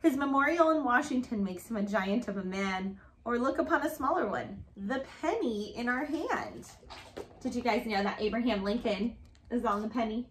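A woman with a high voice reads a picture book to young children. Her delivery is sing-song and encouraging, as a storytime teacher would be. The room around her is live and echoey. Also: moderate pace, slight background noise. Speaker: storytime reader. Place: living room, indoors. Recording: roomy, echoey home recording.

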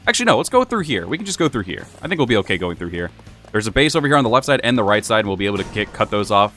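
A fast-talking young man with a lower voice that swings widely in pitch, rambling through a video game playthrough. His voice is slightly hoarse, as if he's losing it, with game music faint behind him. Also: sing-song, fairly bright tone. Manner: chatty, rambling with many fillers. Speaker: gaming channel host. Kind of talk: casual let's-play commentary.

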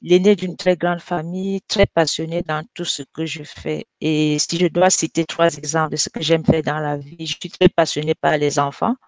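A woman from Cameroon introducing herself on a video call, lively and enthusiastic. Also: low voice, moderate pace, hardly any pauses, no room sound. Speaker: marketing manager, panelist. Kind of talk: self-introduction on webinar. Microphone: headset on video call.

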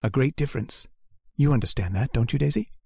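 An actor playing a cold, puritanical male lodger who sermonizes with quiet menace in a radio drama. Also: mid-range voice, fairly quick, vocal fry, breathy edge, no echo, studio quality. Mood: preaching.

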